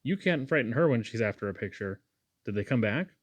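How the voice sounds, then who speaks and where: A man's voice: mid-range voice, expressive, fairly quick, natural voice. A man voicing an eager, sweet, chatty young woman in a storybook, with gushing exclamations. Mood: lively, gushing.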